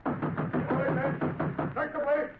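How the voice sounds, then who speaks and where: An actor playing a brisk police captain giving loud orders in a vintage radio drama. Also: male voice, very high-pitched, little pitch movement, over loud music. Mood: commanding. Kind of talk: 1930s radio drama acting. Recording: tinny old radio recording, heavy hiss.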